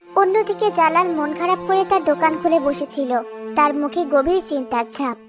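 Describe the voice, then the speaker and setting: A woman's voice: very high-pitched, a little fast, over loud music. A woman giving scripted narration for a village cartoon story.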